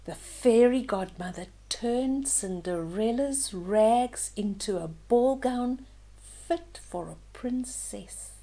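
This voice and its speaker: Casting: female children's storyteller, unhurried and soft-toned, expressive, dropping into hushed moments. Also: on the high side. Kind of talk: storybook read-aloud with asides to young listeners.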